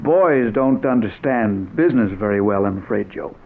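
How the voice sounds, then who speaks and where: A man's voice: on the low side, animated, normal speed, creaky. An actor playing a wealthy, evasive businessman in an old-time radio drama, hesitant, polite and falsely regretful. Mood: apologetic excuses. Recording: narrow, noisy vintage radio recording.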